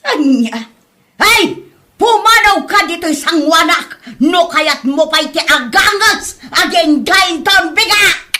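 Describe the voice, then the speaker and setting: A woman playing a greedy, combative older mother in a comedy drama, scolding in a shrill voice. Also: expressive, slow pace, pushed and bright, heavily acted. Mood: furious. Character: hot-tempered greedy older mother feuding over land. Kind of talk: Ilocano comedy drama acting.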